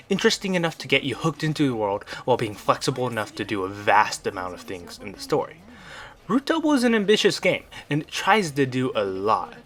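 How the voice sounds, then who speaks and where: A man with a medium-pitched voice delivers scripted narration as a game reviewer. He presents it as a prepared review, read aloud to the listener. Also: a bit bright.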